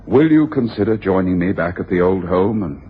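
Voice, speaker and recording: Low-voiced, genial gentleman heir. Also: animated, moderate pace. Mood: conciliatory, pleading. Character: genial, conciliatory gentleman heir, eager to make peace. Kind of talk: radio drama dialogue. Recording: dull, slightly noisy radio recording.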